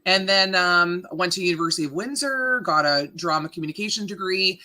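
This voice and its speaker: A woman in her thirties from Canada with a deep voice, a radio morning host chatting casually in an interview, laughing often and filling with ums. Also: lively intonation, fairly quick, bright, forceful tone. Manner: chatty, laughing, self-deprecating, many fillers.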